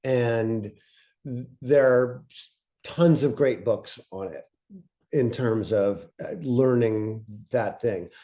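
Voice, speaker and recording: A man co-presenting a webinar in an informal instructional way, thinking aloud in halting, broken-up phrases. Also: mid-range voice, mellow. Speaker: co-presenter of a fiction podcast pitching webinar.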